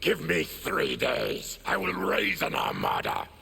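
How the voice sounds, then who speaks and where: A monstrous game warrior with a heavily gravelled, low growl. He is proud and bitter, speaking in slow, formal, menacing phrases that swing dramatically in pitch. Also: huge pitch swings, pushed and bright, a little hiss or hum, exaggerated voice. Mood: grim. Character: afflicted monstrous warrior, proud, bitter former army tribune. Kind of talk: video game fight-intro dialogue.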